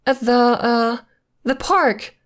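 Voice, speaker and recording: A woman's voice: on the high side, slow. A woman acting in an ASMR roleplay as a playful, evasive girlfriend who is secretly a witch, talking intimately in a coy, teasing, casual way. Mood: coy, defensive. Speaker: voice actor.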